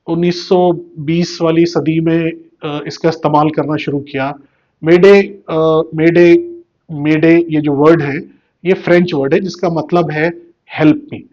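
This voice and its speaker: Male explainer host, fairly high voice, teacherly delivery, slightly muffled recording. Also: darkish tone. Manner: didactic, asks and answers own questions, repeats key words. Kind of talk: informal news explainer.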